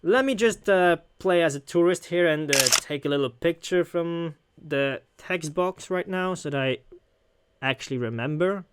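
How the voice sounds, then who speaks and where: A man's voice: fairly high pitch, normal speed, slight background noise. A man doing casual let's play commentary with wry asides, reading along and reacting as he goes. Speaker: gaming video host.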